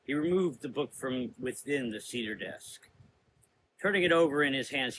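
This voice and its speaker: A man's voice: mid-range voice, bright, forceful tone, slight background noise, poor audio. A man narrating a scripted gothic horror story as the narrator of an audio drama.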